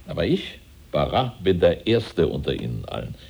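An actor playing a vain, imperious French revolutionary leader in a German radio drama, with a pompous, theatrical delivery. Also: male voice, deep voice, sing-song, normal speed, gravelly, warm tone, husky, full character voice. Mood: proud, indignant.

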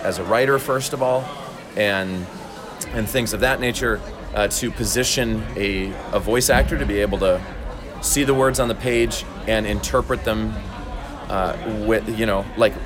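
Low-voiced man, halting and thoughtful, over music. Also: a crowd in the background. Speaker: voice actor interviewed at a convention. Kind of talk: casual interview chat. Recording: convention recording with loud background music.

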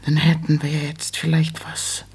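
An actress playing an insistent, plaintive old mother who talks plainly and haltingly, in a German radio play. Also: low-pitched, monotone, a little mellow, heavily acted, low-quality recording. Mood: coaxing, wistful. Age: older. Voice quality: husky, creaky. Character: nagging, money-minded old widowed mother, plain-spoken.

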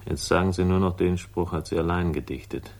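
Low, gravelly hard-boiled investigator. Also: male voice, steady tone, fairly quick, slight background noise. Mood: sardonic. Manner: dry, sardonic, curt. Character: cynical hard-boiled male investigator, wry and brusque. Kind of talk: 1960s German radio crime drama acting with narration.

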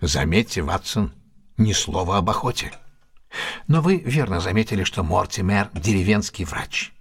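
A man's voice: sits low, animated, moderate pace, slightly rough, soft background music, exaggerated voice. An actor in an audio drama playing a keen, self-assured male detective who reasons step by step in a didactic, theatrical way. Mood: triumphant, precise. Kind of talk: Russian audio drama acting.

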